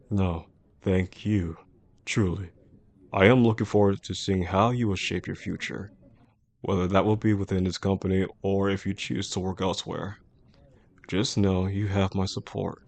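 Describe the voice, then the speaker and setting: Man playing a low-voiced, composed, caring CEO boss. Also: a little mellow. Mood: composed, approving. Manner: measured, formal, reassuring. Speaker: voice actor in a roleplay audio drama. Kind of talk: intimate scripted roleplay audio addressed to the listener.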